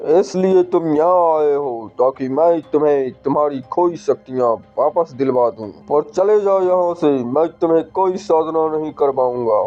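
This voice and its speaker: A man voicing a boastful, threatening male tantric sorcerer in a horror cartoon, speaking in a gruff, scornful, bossy way. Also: on the high side, mellow. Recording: muffled lo-fi recording.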